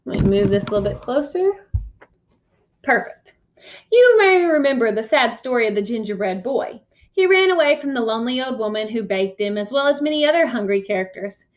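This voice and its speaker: A woman teacher reading a picture book aloud to young children, expressive and instructive, pausing to ask questions. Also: fairly high pitch. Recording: dull, muffled lo-fi sound.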